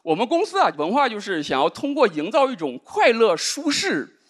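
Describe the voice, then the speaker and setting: High, lively-voiced man doing stand-up. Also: moderate pace, a little hiss or hum. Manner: dry, deadpan delivery with rhetorical asides. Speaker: stand-up comedian. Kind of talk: stand-up comedy set. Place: large indoor studio stage with live audience. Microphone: handheld microphone. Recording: live audience laughter.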